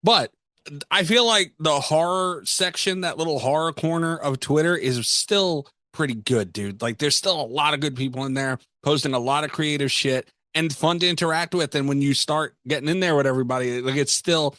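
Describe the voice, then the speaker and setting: A man's voice: a little high, moderate pace, pushed and bright, no echo, clean studio sound. A man co-hosting a podcast, chatting casually in a slangy, opinionated, sweary way. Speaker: podcast co-host. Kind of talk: casual chat.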